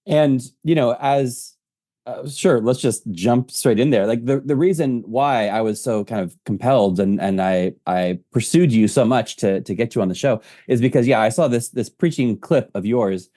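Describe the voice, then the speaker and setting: Host guy asking questions, warm lowish voice, kinda halting, dry close sound. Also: no room sound. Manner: halting, with fillers and repeated words. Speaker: interview host. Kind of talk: interview questions.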